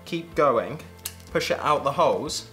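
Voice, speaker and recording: A young British man co-hosting a casual kitchen gadget test, chatting with animated enthusiasm while he demonstrates. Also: medium pitch, unhurried, faint music behind. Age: young adult. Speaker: co-host. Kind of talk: casual product-testing chat. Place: indoor home studio set at a table.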